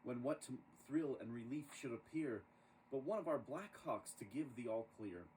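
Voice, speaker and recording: A man reading a rhyming children's story aloud in an expressive, dramatic storytelling manner. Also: mid-range voice, moderate pace. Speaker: storybook reader. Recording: distant microphone with clear background noise.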